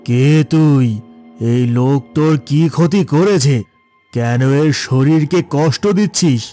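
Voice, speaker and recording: A man's voice: on the high side, slow pace, mellow, faint music behind. A man voicing a stern, commanding village cleric who drives out spirits, solemn and authoritative, in a horror cartoon. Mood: stern challenge. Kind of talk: dramatic horror cartoon acting.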